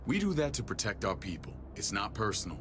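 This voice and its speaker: An actor playing a stern, middle-aged American survivor-camp authority in a video game, curt, commanding and menacing. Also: male voice, fairly low pitch, sing-song, quick pace, over music. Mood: stern warning. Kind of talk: dramatic video game voice acting.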